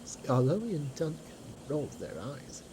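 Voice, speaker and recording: A woman's voice: low-pitched, very animated, moderate pace, mellow, slight background noise. A woman narrating a scripted children's story as an audio drama.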